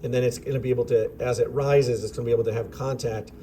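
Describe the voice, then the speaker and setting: A man with a slightly raspy voice explains a lab demonstration in an easygoing, thinking-aloud teacher's manner. His pitch sits in a middling range for a man. Faint fan noise hums behind him in a school lab. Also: fairly even intonation, fast talker, dark tone. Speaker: chemistry teacher. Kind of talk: informal lab demonstration narration. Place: school chemistry lab with vents. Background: ventilation fans running.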